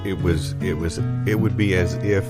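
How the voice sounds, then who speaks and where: Man as a very deep-voiced deadpan bandmate. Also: over loud music, lightly acted. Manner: deadpan, halting. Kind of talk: improvised comic dialogue.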